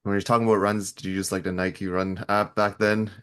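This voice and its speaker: Low-voiced, laid-back male podcast host. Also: level tone, a little fast, fairly bright tone, clean tone. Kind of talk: casual interview chat.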